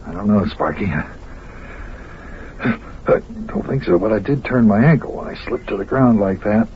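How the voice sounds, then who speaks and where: A man's voice: lowish voice, lively intonation, measured pace. An actor playing a good-natured, patient man of the house in an old-time radio show, speaking plainly and mildly. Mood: shaken. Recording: noisy, dull old radio recording.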